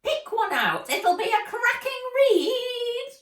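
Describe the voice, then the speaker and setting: A woman voicing a tiny, greedy, feisty female elf bookseller with a squeaky, screechy voice in a children's storybook. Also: very animated, takes it slowly, full character voice. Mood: pushy, wheedling. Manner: pushy, sharp-tongued haggling. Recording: roomy echo, distant microphone.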